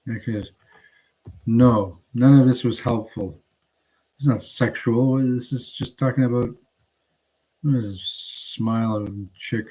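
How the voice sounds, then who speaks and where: A man rambling casually on a livestream, halting and digressive as he thinks aloud while showing his purchases. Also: medium pitch, fairly even intonation, a little mellow, low-quality recording. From Canada.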